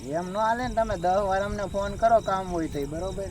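A high-voiced man with a Saurashtra Gujarati accent makes a prank phone call. He is teasing and insistent, questioning in everyday talk. Clear insect and vehicle noise surrounds him outdoors. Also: moderate pace. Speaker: prank caller. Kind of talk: casual phone conversation. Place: outdoors beside a road.

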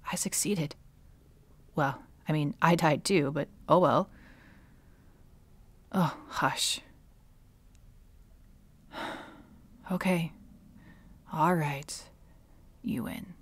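A woman playing a centuries-old villainess witch in an ASMR audio roleplay, wry and bitter with a reluctant tenderness, often slipping toward a breathy whisper. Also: lowish voice, no room sound, full character voice. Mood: incredulous, then tender, resigned. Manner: slow, sardonic, dropping often into breathy whispers. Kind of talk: ASMR dramatic roleplay monologue.

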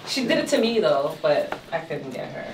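A woman's voice: sits low, sing-song, normal speed. A woman chatting casually as a patient's companion in a small treatment room. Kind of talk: casual chat. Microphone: camera across the room. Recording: echoey, very noisy, distant room mic.

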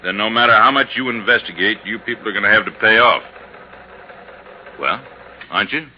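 Male actor as easygoing American cop, very low voice, laconic and matter-of-fact, muffled vintage radio drama sound. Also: brisk, close to an everyday voice. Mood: insistent. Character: male police sergeant, plain-spoken, easygoing, matter-of-fact. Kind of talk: 1950s radio drama acting. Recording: muffled, noisy 1950s radio recording.

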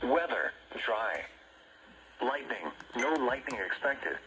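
A man's voice: mid-range voice, very animated, slow. Noisy radio, automated male forecast voice. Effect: small radio speaker. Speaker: weather radio announcer. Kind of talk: automated weather forecast reading. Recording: noisy weather radio speaker, static.

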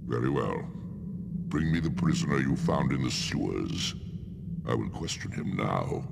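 A male actor playing a cold, menacing dark lord in a video game, imperious and threatening, with a gravelly voice. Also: animated, a little slow, full character voice. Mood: cold menace. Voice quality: gravelly, very deep. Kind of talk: video game cutscene acting. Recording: long hall reverb, noisy game capture.

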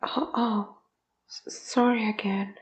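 A woman voicing a shy, anxious, apologetic ghost in a fan audio drama, soft and breathy, halting and stammering. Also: medium pitch, fairly even intonation, echoey room, natural voice, rough recording. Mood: meek. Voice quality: soft and breathy, often whispery.

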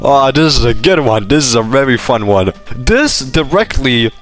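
A young man from Lithuania, a native Lithuanian speaker with British influence in his accent, giving casual gaming commentary in an animated, rambling way. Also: mid-range voice, moderate pace. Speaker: gaming YouTuber. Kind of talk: casual Q&A commentary over gameplay. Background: background music.

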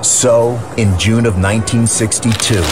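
A man's voice: fairly low pitch, fairly even intonation, measured pace, background noise. A man reading scripted documentary narration as the narrator.

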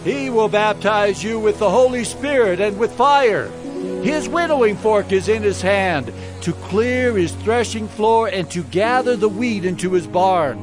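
An actor playing John the Baptist, a fervent prophet preaching in a declamatory way in an audio Bible drama. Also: male voice, high voice, animated, measured pace, over music. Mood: fervent, warning.

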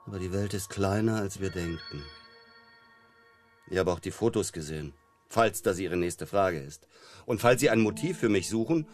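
An actor playing a self-assured male psychotherapist in a German radio crime drama, measured, condescending and dryly ironic. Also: low voice, expressive, soft background music. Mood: dry, guarded.

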